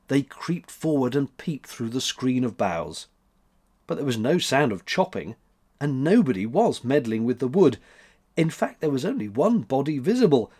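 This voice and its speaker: A man reading a classic story aloud as an expressive storyteller. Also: mid-range voice. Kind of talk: expressive scripted story narration.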